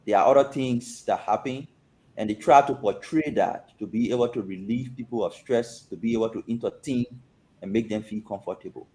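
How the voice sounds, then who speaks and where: A man's voice: on the low side, a little fast, a little mellow, poor audio. A man giving an explanatory overview as a commentator on a talk show.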